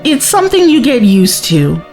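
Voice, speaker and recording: Woman playing a lively, high-voiced cheeky companion. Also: moderate pace, faint music behind. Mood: impressed, teasing. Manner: expressive, teasing. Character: spirited, cheeky young woman companion from the twenty-first century. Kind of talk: audio drama acting.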